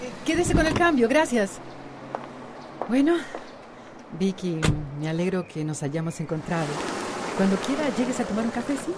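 A woman's voice: medium pitch, very animated, moderate pace, pauses often, heavy background noise, close to an everyday voice. An actress playing an independent woman strained by divorce in a Latin American Spanish radio soap opera. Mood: polite, guarded. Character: Amanda, independent, distressed woman going through divorce.

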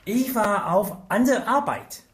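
An actor playing an evasive, frightened Chinese head waiter in a radio drama, speaking terse, halting, broken German with a Chinese accent. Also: male voice, sits high. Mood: evasive, nervous.

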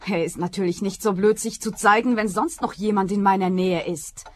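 A woman's voice: mid-range voice, fairly even intonation, moderate pace, close to an everyday voice. An actress playing a brazen, paranoid woman client in a radio play, insistent and snappy. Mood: anxious, insistent.

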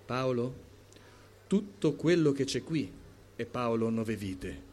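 A man voicing the inner unconscious of a character in a dramatic reading, calm, cold and ominous, speaking slowly and solemnly. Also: medium pitch, pitched below the performer's own voice. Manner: slow, solemn, measured. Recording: clear background noise.

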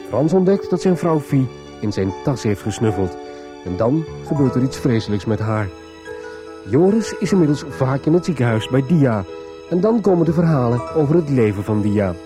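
Male radio soap narrator over music. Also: mid-range voice. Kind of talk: scripted radio recap narration.

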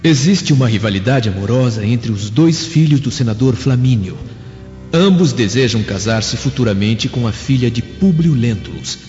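An actor playing a young, scheming slave in a radio drama, with earnest, formal, measured delivery. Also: male voice, medium pitch, lively intonation, a little mellow, lightly acted. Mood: earnest, plotting. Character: young male slave, devoted son, scheming revenge. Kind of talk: radio drama acting, letter monologue. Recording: dull recording, long reverb, faint music. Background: music.

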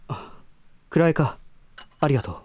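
Breathy, troubled young gentleman. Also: a little high, lively intonation, normal speed, dry recording. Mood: guarded, hesitant. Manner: hesitant, introspective, formal. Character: troubled, reserved young gentleman brooding over his half-brother. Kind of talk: Japanese voice drama acting with inner monologue.

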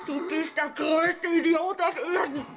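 A woman's voice: extremely high pitch, steady tone, a little slow, faint music behind. An actress playing a domineering, irritable woman in her forties in a radio crime play, barking orders. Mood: furious, commanding. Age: 40s. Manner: barking, commanding.

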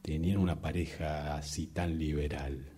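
A man with a Rioplatense accent acting in a radio drama as a hesitant, evasive friend breaking awkward gossip in halting speech. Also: very deep voice, fairly even intonation, mellow, slight background noise. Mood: awkward, probing. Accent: Rioplatense Argentine. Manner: halting, stammering, hedging. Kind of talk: radio drama workshop dialogue.

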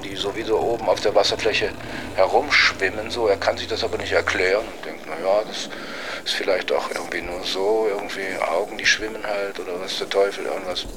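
A man telling a story casually in a rambling, colloquial way. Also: lowish voice. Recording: noisy ambience behind the voice.

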